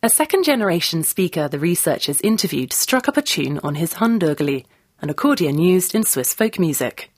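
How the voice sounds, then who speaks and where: A woman's voice: lowish voice, big pitch swings, brisk. A woman presenter delivering scripted narration and putting questions to a guest. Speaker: presenter and interviewer.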